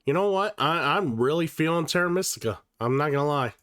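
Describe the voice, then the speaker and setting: A man's voice: a little high, expressive, a little fast, a bit bright, no echo, studio quality. Sick, nasal-sounding man streaming casually. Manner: casual and profane, with many fillers and restarts. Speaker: livestream host. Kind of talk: casual livestream chat.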